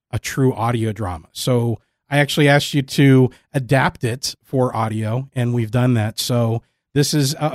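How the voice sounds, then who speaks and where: A man's voice: mid-range voice, a little mellow, no room sound, clean studio sound. Male interviewer, middle register, conversational podcast hosting, no room sound.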